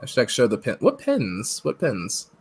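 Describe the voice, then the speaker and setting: A man's voice: mid-range voice, wildly swooping pitch, a little slow, gravelly, darkish tone, breathy edge. A man in his thirties co-hosting a casual live-stream podcast from home, laughing often and reading the chat aloud. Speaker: podcast co-host. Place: home room, on video call.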